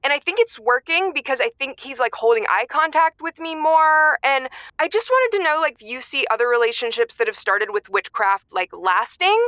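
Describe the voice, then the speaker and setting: A woman's voice: sits high, animated, moderate pace, few pauses, bright, forceful tone, dry recording. A woman acting as an earnest, stubborn, obsessive lovelorn caller in her thirties, talking casually with many fillers in a comedic audio drama. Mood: earnest, hopeful. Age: 30s. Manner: filler-heavy millennial casual talk, stubborn. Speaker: caller to an advice show.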